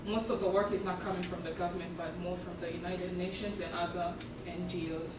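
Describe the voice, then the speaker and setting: A woman's voice: on the low side, level tone, a little fast. A young woman who grew up in Uganda and was born in South Sudan, giving an earnest student presentation with frequent ums. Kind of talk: presentation talk. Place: event room. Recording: echoey room from far off, with background noise.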